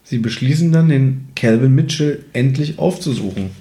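A man's voice: medium pitch, animated, normal speed, mellow. A man co-hosting a podcast, chatting casually and conversationally as he recaps an audio drama from his notes. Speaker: podcast co-host.

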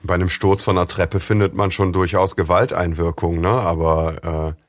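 A man's voice: deep voice, fairly even intonation, normal speed, no room sound. A man co-hosting a fan podcast who chats casually about a radio-play case, adding dry remarks and agreeing often. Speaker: podcast co-host.

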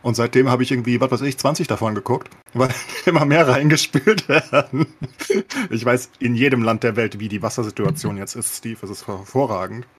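A man's voice: mid-range voice, a little fast, breathy edge. A man chatting casually on a podcast in colloquial language, with sardonic understatement. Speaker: podcast host.

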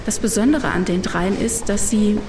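A woman's voice: mid-range voice, moderate pace, lightly acted. A woman playing a sceptical, wry and hesitant travel companion in a sci-fi audio drama. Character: sceptical, wry woman friend reluctantly joining an adventure. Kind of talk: German science-fiction audio drama. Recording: roomy, distant mic, background noise.

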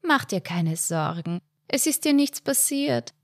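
Woman doing an old Austrian mum, tender but firm, fussy, hushed to raised. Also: mid-range voice, sing-song, measured pace, no echo, studio quality. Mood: fussing concern. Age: older. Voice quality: soothing, loving sternness. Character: older mother, tender but firm, fussing.

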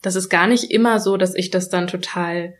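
A young woman talking reflectively in a casual conversation, pausing often with fillers and restarting her sentences. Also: fairly low pitch, steady tone. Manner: thoughtful, many fillers and restarts, laughs when quoting others.

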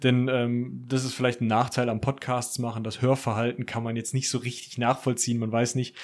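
A man's voice: mid-range voice, level tone, brisk. Casual, jokey man as podcast guest. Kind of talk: casual chat.

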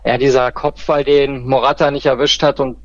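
A man's voice: mid-range voice, little pitch movement, moderate pace, dry recording, lo-fi sound. A man joining a podcast as a guest football fan, chatting casually and hesitantly, thinking aloud with many fillers.

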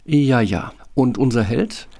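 A man narrating a fairy tale from a script in a wry, measured storytelling manner. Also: mid-range voice, expressive, vocal fry, dark tone.

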